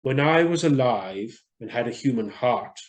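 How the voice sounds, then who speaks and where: A man voicing the gentle, sorrowful statue of a young prince in a storybook, speaking in a low, musical, measured voice. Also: lively intonation, lightly acted. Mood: wistful.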